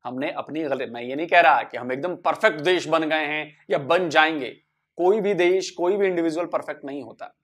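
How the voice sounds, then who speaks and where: A man with a slightly raised pitch talks to the camera in a measured, persuasive way. He builds his points by repeating key phrases, steady and earnest.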